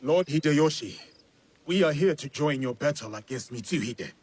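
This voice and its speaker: An actor playing a loyal, resolute warrior in a video game, with a very husky, breathy voice. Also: male voice, on the high side, lively intonation, normal speed, a little mellow. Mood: determined. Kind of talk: video game cinematic acting.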